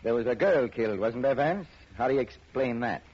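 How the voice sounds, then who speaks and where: An actor playing a cornered, bitter middle-aged killer, gruff and defensive, in 1940s radio acting. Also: male voice, mid-range voice, animated, brisk. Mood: defiant. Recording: muffled old radio broadcast.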